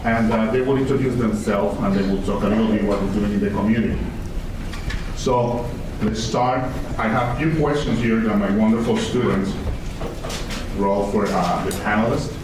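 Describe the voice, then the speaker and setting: A man moderating a panel, halting and repeating words as he welcomes the audience. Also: fairly low pitch, fairly even intonation. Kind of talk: bilingual event welcome. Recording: echoey hall, far from mic, noisy.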